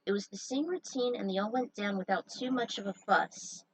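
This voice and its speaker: A woman's voice: medium pitch, a little fast, dry recording, far from the mic, low-quality recording. A woman reading a story aloud, breaking off for chatty, joking asides. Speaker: fan fiction reader. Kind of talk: story narration with casual asides.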